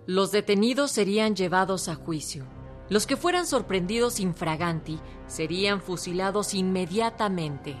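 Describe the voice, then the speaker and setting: A woman's voice: medium pitch, moderate pace. A woman giving serious, formal scripted narration for a historical documentary. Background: clear background music.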